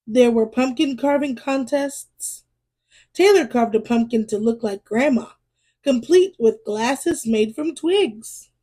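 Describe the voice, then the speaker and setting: A woman reading a children's storybook aloud in an expressive way, as a storyteller speaking to young listeners. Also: sits high. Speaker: storyteller and read-aloud host.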